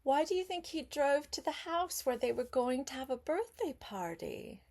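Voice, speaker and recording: An older woman reads a children's story aloud as a grandmother would, expressively, pausing to chat and put simple questions to her young listener. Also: sits high, very animated, a little mellow.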